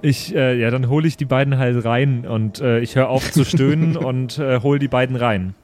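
A man speaking casually and haltingly as a player in an improvised tabletop role-play. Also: medium pitch, darkish tone. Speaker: player in a tabletop audio drama.

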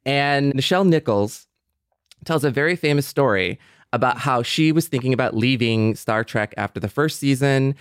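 A man's voice: medium pitch, moderate pace, clear, resonant tone, no echo, clean studio sound. A middle-aged American man in a casual panel discussion, telling anecdotes as an expert guest. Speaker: podcast panelist and Star Trek expert.

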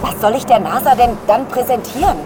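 A woman's voice: a little high, fairly quick, close to an everyday voice. An actress playing a determined woman scientist astronaut on Mars, indignant and insistent, in a radio drama. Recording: heavy storm noise behind.

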